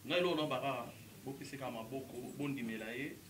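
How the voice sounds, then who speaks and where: A man speaking animatedly at a press event with excited exclamations. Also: mid-range voice, fairly quick, roomy sound, recorded from a distance, a little hiss or hum.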